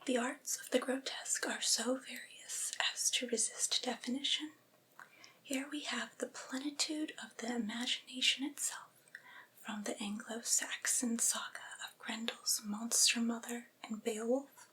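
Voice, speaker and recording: Slow whispering ASMR woman. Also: on the high side, fairly even intonation, pushed and bright, rough recording. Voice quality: breathy, unvoiced whisper. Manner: slow, deliberate, careful phrasing. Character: devoted servile female vampire's familiar soothing master. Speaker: ASMR performer reading aloud. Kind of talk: whispered ASMR reading of a literary essay.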